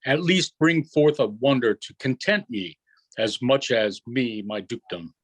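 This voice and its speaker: Man reading as commanding, measured wronged duke. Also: mid-range voice, animated. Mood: measured, grave. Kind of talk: unrehearsed virtual Shakespeare reading.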